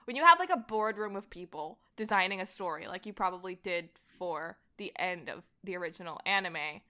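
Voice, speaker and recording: A woman's voice: on the low side, animated, a little fast, pushed and bright. A woman talking as a podcast guest in an informal, enthusiastic conversation. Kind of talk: casual chat.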